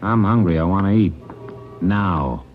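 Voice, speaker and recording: An actor playing a cocky, whining, belligerent thief in a 1940s radio crime drama, griping and threatening in slang. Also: male voice, sits low, unhurried. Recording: muffled old radio recording with music.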